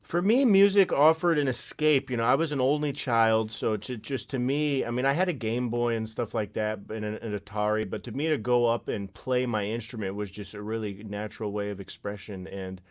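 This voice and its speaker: A man in his forties co-hosting a casual livestream chat, telling lively stories and acting out the voices of people he quotes, over a home microphone on a video call. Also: medium pitch, brisk, few pauses. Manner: animated storyteller, acts out quoted voices. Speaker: livestream co-host.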